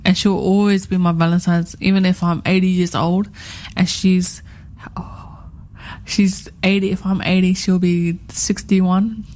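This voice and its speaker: A woman's voice: fairly low pitch, level tone, a little slow, darkish tone. A woman in her thirties co-hosting a radio chat show, talking in a rambling, heartfelt way and repeating herself often. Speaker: radio co-host.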